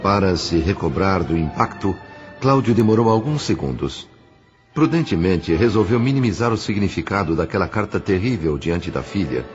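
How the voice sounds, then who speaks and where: Deep-voiced man narrating an audio novel. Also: lively intonation, normal speed, dull audio. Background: faint music.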